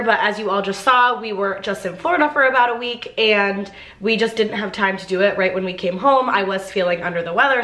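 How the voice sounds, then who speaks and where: A woman's voice: medium pitch, a bit bright. A woman with a stuffy, congested nose, chatting upbeat as a lifestyle vlogger while decorating her apartment kitchen. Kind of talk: casual decorating vlog chat. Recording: roomy echo.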